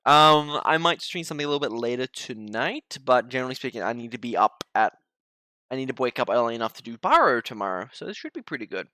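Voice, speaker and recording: Young male streamer signing off, rambly, bright forceful voice with lots of pitch swing. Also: sing-song, fast talker, no room sound. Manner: stumbles over words, rambling. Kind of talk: casual end-of-stream chat.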